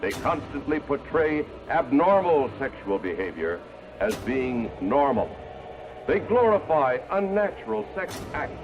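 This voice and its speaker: A man's voice: mid-range voice, very animated, a little slow. A man giving a scripted, old-fashioned moral warning in an earnest, declamatory, alarmed manner. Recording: dull archival film audio under music. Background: music.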